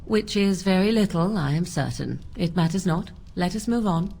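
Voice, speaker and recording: A woman playing an aloof, sardonic young witch in a fantasy video game, with haughty, archaic diction and a husky voice. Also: lowish voice, animated, moderate pace, darkish tone, heavily acted. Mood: cool, dismissive.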